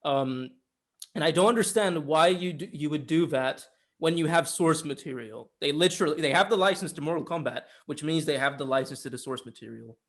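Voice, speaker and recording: A man's voice: on the high side, brisk. A man co-hosting a casual film discussion, argumentative and emphatic, with some casual swearing. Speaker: podcast co-host reviewing films.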